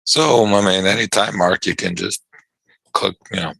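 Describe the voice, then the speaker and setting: A man hosting a live trading chat on a video call, his voice worn from yelling, rambling and stammering through repeated words. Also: deep voice, moderate pace, no room sound. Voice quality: gravelly and husky. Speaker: trading show host.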